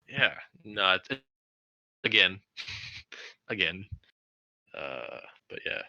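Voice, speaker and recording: A man co-hosting a casual film podcast, working out his thoughts in halting, tentative phrases. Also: low voice, big pitch swings, gravelly, bright, forceful tone, dry recording, lo-fi sound. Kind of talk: casual group chat.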